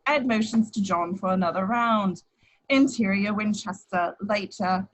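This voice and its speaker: A woman's voice: mid-range voice, a little slow, highs cut off. A woman reading out screenplay stage directions as scripted narration in a table read. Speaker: action description reader.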